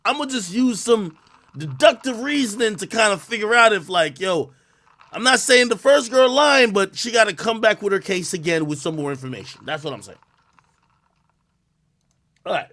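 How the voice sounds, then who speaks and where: A man streaming a live reaction, talking in an animated, profane, loud way with bursts of laughter. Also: high voice.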